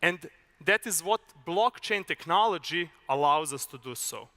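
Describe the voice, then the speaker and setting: A young man from Serbia, giving a measured, explanatory conference talk with a few filler uhs. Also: on the high side, expressive, bright, forceful tone. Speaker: conference speaker. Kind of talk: conference presentation. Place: large conference hall. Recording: reverberant hall.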